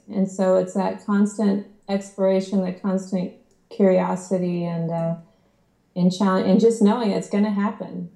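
A woman's voice: fairly low pitch, creaky, mellow, reverberant. An American woman in her thirties, a podcast guest chatting casually, laughing often and playing herself down. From United States. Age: 30s. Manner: laughs often, self-deprecating. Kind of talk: casual chat.

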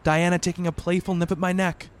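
A man acting a higher-voiced, earnest gothic narrator. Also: little pitch movement, clean tone, lightly acted. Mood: measured, brooding. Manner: measured, literary, formal storytelling. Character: earnest, devoted boyfriend narrating a horror tale. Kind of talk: dramatic radio play narration and dialogue. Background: music.

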